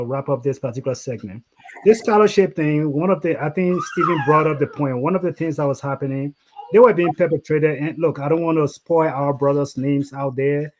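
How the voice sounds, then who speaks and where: A man's voice: on the high side, a little mellow, rough recording. A Liberian man hosting a panel discussion over a video call, asking pointed, probing questions. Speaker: host.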